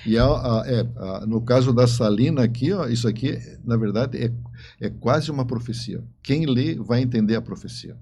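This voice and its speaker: A man, middle-aged, with a southern Brazilian accent, telling stories conversationally in a halting way, repeating words as he goes. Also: medium pitch, warm tone. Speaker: interview guest, writer. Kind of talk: conversational storytelling.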